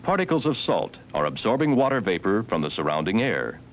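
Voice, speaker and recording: Low-voiced man narrating an educational film. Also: sing-song. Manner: measured, formal, instructional. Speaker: documentary narrator. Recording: 1970s film soundtrack with faint hiss.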